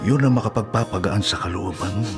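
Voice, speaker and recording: Gentle older dad played by a male actor, low register, consoling and fatherly, radio drama over prominent music. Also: fast talker, close to an everyday voice. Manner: soothing, fatherly, advising. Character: gentle, devout older father consoling his grown daughter.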